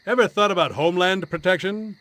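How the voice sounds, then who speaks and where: A man's voice: sits high, a little fast, a bit bright, no echo. A man playing a smug, hard-boiled 1950s government agent with a slick salesman's patter in a video game. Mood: persuasive. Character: smooth-talking 1950s male government agent, smug propagandist. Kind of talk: video game cutscene acting.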